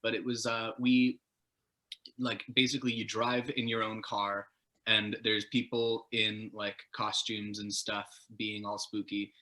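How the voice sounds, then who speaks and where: A man speaking as a guitarist interview guest, telling stories in a casual, rambling, animated and self-deprecating way. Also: lowish voice, unhurried. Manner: animated, self-deprecating, casual swearing, laughs while talking.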